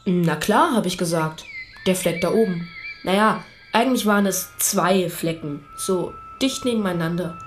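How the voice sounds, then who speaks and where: A woman playing a young boy, a reluctant witness in a radio play, with a lowish voice. He answers tersely and hesitantly, correcting small details literally. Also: normal speed, faint music behind, lightly acted. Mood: reluctant, factual.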